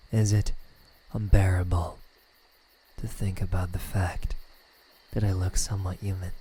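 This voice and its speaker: Breathy, slow-talking male demon, acted by a man. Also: sits low, full character voice, rough recording. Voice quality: breathy, slipping into whispers. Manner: slow, hushed, taunting delivery with pauses. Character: male demon, former slayer, smug, menacing yet melancholic. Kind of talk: ASMR character roleplay.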